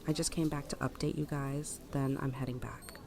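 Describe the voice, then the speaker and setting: A woman's voice: a bass voice, fairly even intonation, normal speed, vocal fry, darkish tone. A woman playing a blunt, practical, seasoned survivor in an audio drama. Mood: grim. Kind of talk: fan audio drama acting.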